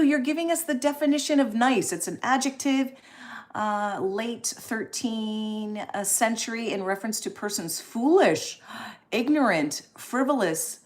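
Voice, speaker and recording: A woman, hosting a casual live stream and chatting in an encouraging, expressive way as she reads and answers comments. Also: medium pitch.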